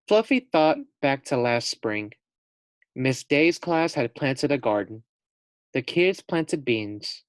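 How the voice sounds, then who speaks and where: A man reads a children's story aloud at a slow pace, in a middling pitch. The recording is close and dry, and he speaks simply and directly, like a teacher with young pupils. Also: dry recording. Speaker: teacher reading aloud to first graders. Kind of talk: expressive storybook read-aloud with casual asides to young listeners.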